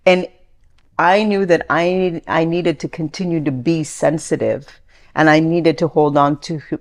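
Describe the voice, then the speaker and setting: A woman in her forties, born in Iran, speaking earnestly and reflectively as an interview guest, with frequent restarts and fillers. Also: deep voice.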